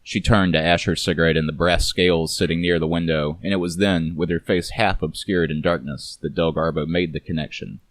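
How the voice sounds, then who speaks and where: A man's voice: deep voice, steady tone, a little fast, hardly any pauses, dry recording. A man narrating a scripted noir detective story.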